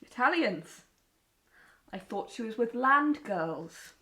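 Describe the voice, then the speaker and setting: A woman acting in an audio drama as a stern, resentful middle-aged mother in wartime, with a sharp, disapproving manner. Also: fairly high pitch, lively intonation, takes it slowly, full character voice. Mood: scornful.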